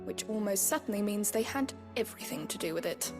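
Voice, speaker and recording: A woman playing a polite, earnest, gently firm schoolteacher in a fantasy video game. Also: medium pitch, lively intonation, over music, close to an everyday voice. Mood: puzzled, wry. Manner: measured, well-spoken.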